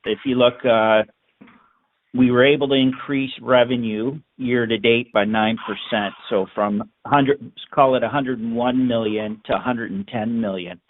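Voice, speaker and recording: A man speaks as a finance executive fielding questions on an earnings call. His delivery is halting, with words often repeated as he builds his answer. The sound is narrow and phone-like. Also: mid-range voice, steady tone, slight background noise. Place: conference call. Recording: narrow, tinny phone line.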